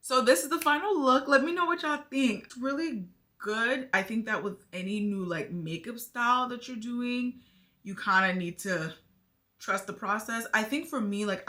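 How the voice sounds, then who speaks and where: A woman's voice: mid-range voice, bright, forceful tone. A young woman chatting casually to her viewers while doing her makeup, thinking aloud as she goes. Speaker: beauty vlogger. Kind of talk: casual makeup tutorial chat.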